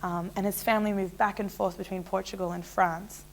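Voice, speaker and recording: Young woman with gravelly voice. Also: medium pitch, flat delivery, a little fast, slight background noise, poor audio. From Australia. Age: 20s. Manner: chatty, many fillers and restarts. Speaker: interviewee. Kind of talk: casual personal storytelling.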